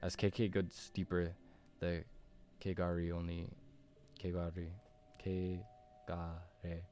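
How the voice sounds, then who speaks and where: A man's voice: deep voice, monotone, takes it slowly, mellow. A man reading a visual novel aloud as narration, as a game streamer does. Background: background music.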